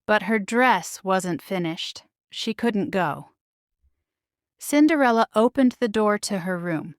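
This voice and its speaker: A woman reading a children's story aloud as a scripted narration, measured and expressive. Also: mid-range voice, no echo, studio quality. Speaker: storybook reader.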